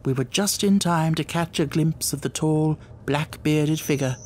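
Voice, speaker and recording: A man reading a classic mystery audiobook as dramatic narration, with measured, suspenseful storytelling. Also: fairly high pitch, a little mellow, a little hiss or hum.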